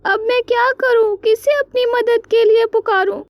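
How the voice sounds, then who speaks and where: Cartoon mother crow, female, very high-pitched, anguished and pleading; played by a female narrator. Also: steady tone, normal speed, no room sound, highs cut off. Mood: distraught. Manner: pleading, lamenting. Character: anguished mother crow searching for her stolen chick. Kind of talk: animated moral story dialogue.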